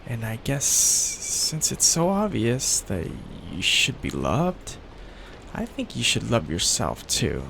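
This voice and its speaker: Male actor for young mafia heir, ASMR romance: doting, possessive, unhurried, with a lively pitch swing. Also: gravelly, a little hiss or hum, full character voice. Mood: tender, earnest. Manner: tender, coaxing, hesitant, asks for reassurance. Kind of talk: intimate ASMR boyfriend roleplay.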